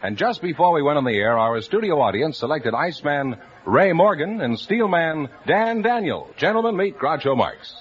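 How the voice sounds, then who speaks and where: A man's voice: on the high side, sing-song, normal speed, slightly rough. A man announcing a radio comedy quiz show with showy, formal introductions. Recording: dull old radio broadcast with music. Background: music.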